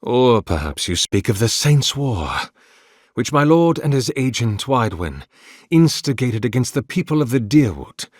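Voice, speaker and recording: An actor voicing an ancient, devout godlike priest in a video game, formal, courteous and melancholy, speaking in measured, archaic phrases. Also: male voice, medium pitch, very animated, no echo, studio quality. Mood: solemn, courteous. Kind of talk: solemn video game character dialogue.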